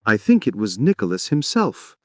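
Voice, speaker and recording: A man's voice: on the low side, wildly swooping pitch, moderate pace, no room sound, studio quality. A man voicing a sad, lonely, pleading wife in a theatrical, plaintive reading of a stage play. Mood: wistful, bitter.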